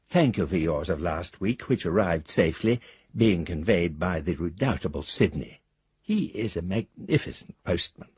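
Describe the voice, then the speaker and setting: A man's voice: low voice, a little mellow, husky, dry recording, lo-fi sound, full character voice. An actor playing a roguish, affectionate, well-spoken middle-aged father in a radio drama, with florid, theatrical phrasing. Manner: urbane, florid, theatrical delivery. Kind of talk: radio drama letter reading.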